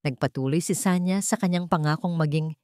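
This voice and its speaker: A woman's voice: low voice, a little fast, a little mellow. A woman reading scripted, dramatic narration as the storyteller of a romance story.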